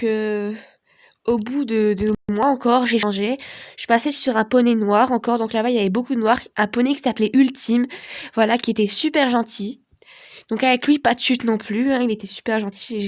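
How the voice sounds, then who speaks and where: A young woman with a hoarse voice from a cold, telling a rambling personal story to her viewers with many fillers. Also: a little high. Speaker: vlogger. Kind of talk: casual storytelling to camera.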